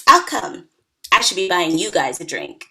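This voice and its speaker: Woman playing a teasing party-girl bridesmaid in a comedy read; lowish voice, very lively swings. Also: normal speed, full character voice. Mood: breezy. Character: wry, teasing, hard-drinking bridesmaid in her early thirties. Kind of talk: comedy script table read.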